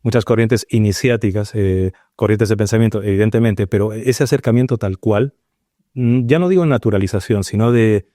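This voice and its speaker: A man from Peru, a guest on a studio panel, talking through his thoughts slowly with many pauses and restarts. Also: lowish voice, dark tone, no room sound. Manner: searching, hesitant, full of restarts and pauses. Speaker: panel guest, paranormal researcher. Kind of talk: reflective round-table discussion.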